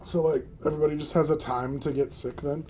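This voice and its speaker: A man's voice: fairly high pitch, steady tone, fast, mellow, low-quality recording. A man hosting a casual comedy podcast, joking around with many fillers and frequent laughs. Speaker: podcast host.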